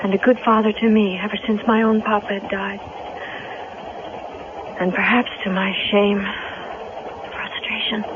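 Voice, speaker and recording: Actress as proud young radio-drama heroine. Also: female voice, mid-range voice, flat delivery, measured pace, long pauses, natural voice. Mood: sorrowful, confessional. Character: grieving, proud young woman, heroine and narrator. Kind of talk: 1970s radio drama acting. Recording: noisy, muffled vintage radio broadcast.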